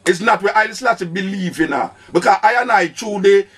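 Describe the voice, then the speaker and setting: A man's voice: high voice, fast talker, a bit bright, breathy edge. Older Jamaican man arguing on radio. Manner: interrupts insistently, argumentative. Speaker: radio host. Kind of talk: call-in radio talk.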